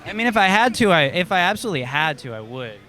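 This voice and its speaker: A man's voice: high-pitched, very animated, fast, sharp tone, faint music behind. Pushy male street interviewer. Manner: pushy, probing questions.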